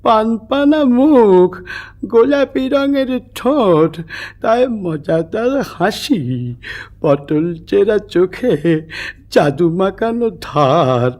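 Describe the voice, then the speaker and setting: A man's voice: high voice, lively intonation, a little mellow. A man playing a talkative, dreamy old cobbler in a theatrical comic cartoon. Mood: dreamy, rambling. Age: older. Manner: rambling, drawn-out, dreamy storytelling. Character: old, talkative, opium-eating cobbler with failing eyes.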